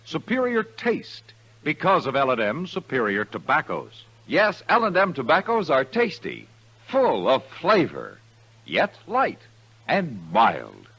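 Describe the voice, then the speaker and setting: Very animated, husky male radio announcer. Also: on the high side, slow, rough recording. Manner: emphatic, polished sales delivery. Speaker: commercial announcer. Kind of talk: 1950s radio advertisement read.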